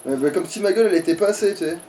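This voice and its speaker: Guy doing a let's play, high voice, sweary and jokey, bit far from the mic. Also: very fast, mellow. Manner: crude, swearing, joking. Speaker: let's player. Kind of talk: casual gaming commentary with a friend.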